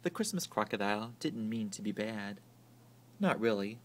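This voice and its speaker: A man reading a children's story aloud in an expressive, theatrical way that swings from hushed to booming. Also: normal speed, very noisy. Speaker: storybook reader.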